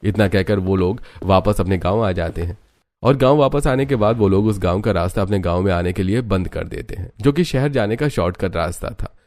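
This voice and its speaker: Low-voiced male story narrator, very dry. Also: fairly quick, a little mellow, no echo.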